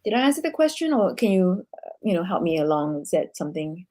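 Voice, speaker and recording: Mellow-voiced woman from Singapore. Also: fairly low pitch, sing-song, fast talker. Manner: reflective, searching for words. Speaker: guest. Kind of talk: casual conversation.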